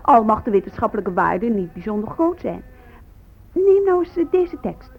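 An actress playing an enthusiastic, flippant woman who collects texts, talking excitedly in a radio drama. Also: a little high, normal speed, creaky, heavily acted. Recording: tinny, phone-like old radio recording.

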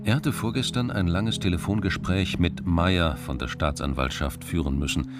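An actor narrating a radio crime drama as a sober, wry storyteller. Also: male voice, low voice, moderate pace, music in the background, lightly acted.

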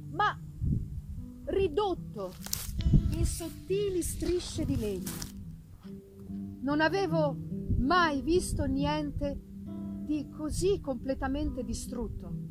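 A woman giving a dramatic, theatrical reading of literary narration, with deliberate phrasing and pauses. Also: sits high, sing-song, music in the background, low-quality recording. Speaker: reader of a literary audiobook. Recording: live reading with noticeable background noise.

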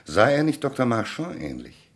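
A man's voice: medium pitch, huge pitch swings, normal speed. An actor playing a shrewd, insistent police commissioner in a radio crime drama, methodical and declamatory as he interrogates. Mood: probing, firm.

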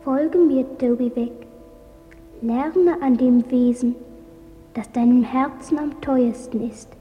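An otherworldly spirit guide in an old radio play, wrapped in long reverb with music behind. The voice is high yet very mellow, intoning slowly and solemnly. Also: female voice. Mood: solemn, eerie. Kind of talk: 1950s German radio play acting.

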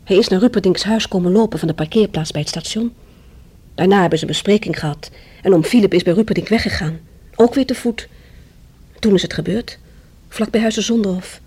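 An actress playing an earnest, polite young heiress in a radio play. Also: female voice, medium pitch, fairly quick, dark tone, breathy edge, lightly acted. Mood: worried. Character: Rosa, earnest young engaged heiress niece.

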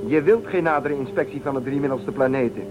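A man's voice: mid-range voice, fast, music in the background, lightly acted, rough recording. An actor playing a suspicious, insistent male starship crewman in a radio play, questioning and challenging. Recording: clear background hum and noise.

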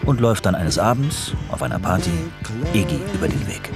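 A man's voice: sits low, big pitch swings, brisk, over loud music. A man reading scripted narration for a radio documentary.

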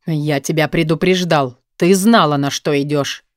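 A cold, domineering man from an audiobook drama, performed by a woman reader. The voice is dropped low and speaks in a bitter, commanding way with a menacing edge. The sound is very dry. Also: moderate pace, no room sound, close to an everyday voice. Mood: cold anger.

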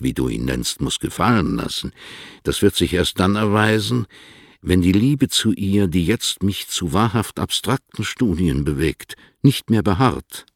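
A low, creaky, husky male voice, recorded dry and close. He plays a stately older poet answering letters in a literary radio play, measured and formal, with gentle irony. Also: dry recording, exaggerated voice.

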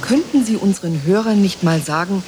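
A polite, persistent reporter, played by a woman, puts questions in a radio play. Her voice sits at a medium pitch and keeps a courteous interviewing manner. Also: lively intonation, a little fast, a little mellow, lightly acted. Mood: politely inquiring.

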